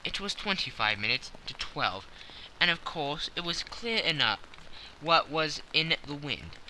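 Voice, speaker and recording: Animated, bright-voiced male audiobook narrator. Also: on the high side, measured pace, a little hiss or hum, low-quality recording. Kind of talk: scripted dramatic story narration.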